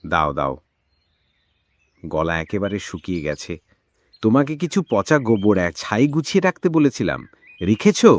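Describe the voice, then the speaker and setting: A man's voice: fairly low pitch, very animated, moderate pace, long pauses, no room sound. Man as dry-sounding poor village farmer. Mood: businesslike. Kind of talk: Bengali cartoon voice acting.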